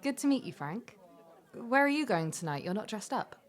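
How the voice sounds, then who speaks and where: An actress playing a friendly young university student in an audio drama, with a bright, lilting voice. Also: female voice, a little high, big pitch swings, normal speed. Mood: friendly, inviting. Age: young adult. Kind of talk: naturalistic audio drama dialogue.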